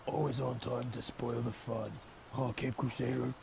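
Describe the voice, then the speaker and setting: A man's voice: medium pitch, little pitch movement, normal speed, gravelly, a little mellow, slight background noise. A man playing a cocky, taunting street criminal in an audio drama. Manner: taunting, arrogant.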